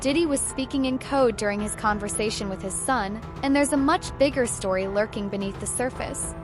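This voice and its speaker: Higher-pitched woman narrating news. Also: fairly quick, over music. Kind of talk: scripted news-style narration.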